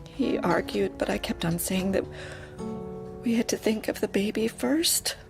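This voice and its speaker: Cowed female office worker: subdued, deferential, medium pitch, dark-comedy audio drama. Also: measured pace, vocal fry, over music. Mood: quietly grieving. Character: meek, anxious young mother, a cowed female employee.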